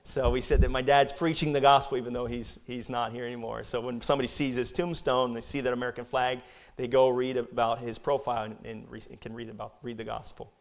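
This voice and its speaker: A man's voice: medium pitch, little pitch movement, fast, few pauses. A man in his thirties who grew up in Brazil, giving an earnest, conversational missionary talk to a church, often repeating words as he goes. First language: Portuguese. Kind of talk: church presentation, Q&A.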